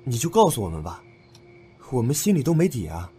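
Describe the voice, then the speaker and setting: A man's voice: mid-range voice, huge pitch swings, brisk, warm tone, soft background music. An actor playing a shrewd, probing young man in a Chinese audio drama. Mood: probing, coaxing.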